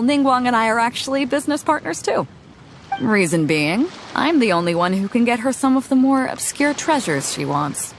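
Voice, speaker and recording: A woman voicing a confident, easygoing female ship captain in a video game cutscene, speaking in a relaxed, knowing way. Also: mid-range voice, noisy background, close to an everyday voice. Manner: relaxed, knowing, laughs often. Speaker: fleet captain. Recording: game music under the voice.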